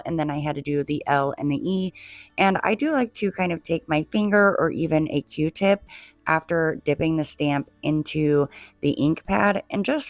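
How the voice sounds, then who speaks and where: A woman with a low voice talks viewers through a craft project, chatty and relaxed, often calling them "you guys". She is coming down with a cold and her voice is fading. The sound is dry and close, with no room echo. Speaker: DIY home decor creator. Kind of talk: casual craft tutorial narration.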